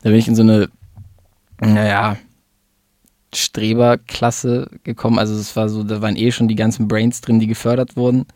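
A man in his twenties from Germany, a rapper guest talking casually about his life, relaxed and rambling with fillers. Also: fairly low pitch, normal speed, no echo, clean studio sound. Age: 20s. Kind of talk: casual interview chat.

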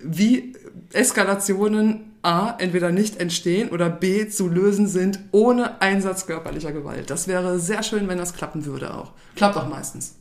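A woman in her forties speaks in a lowish voice as an interview guest. She talks in a relaxed, chatty way and laughs easily. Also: moderate pace. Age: 40s. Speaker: guest, de-escalation trainer. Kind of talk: casual interview chat.

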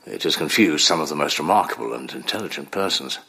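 A learned psychoanalyst in a period radio play, with a very low, husky voice. His speech is measured and probing, yet the pitch rises and falls expressively as he reflects. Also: expressive, sharp tone, full character voice. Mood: reflective. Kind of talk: audio drama acting.

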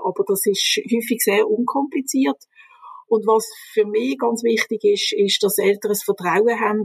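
A woman who calmly explains and gives advice as an expert guest in an interview. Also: mid-range voice, vocal fry, breathy edge, no echo. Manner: calm, explanatory, advising. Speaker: reading and language development expert.

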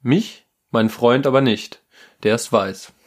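A man's voice: mid-range voice, slow. A man co-hosting a casual political podcast, speaking hesitantly with fillers before reading a listener's story aloud. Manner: hesitant, fills with ähm and genau, then reads aloud. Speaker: podcast co-host.

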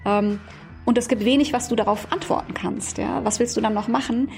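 Fast-talking woman explaining calmly. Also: fairly high pitch, faint music behind. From Iran, raised in Germany. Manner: fluent, explanatory, frequent fillers. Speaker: Iran researcher, guest. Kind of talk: interview answers.

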